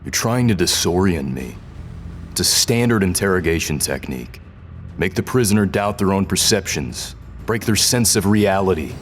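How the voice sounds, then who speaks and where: An actor playing a captive rebel captain in a science fiction audio drama, a man who is guarded, halting and uncertain beneath his defiance. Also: low voice, expressive, a little hiss or hum. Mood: defiant. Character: defiant but confused male rebel captain, held prisoner.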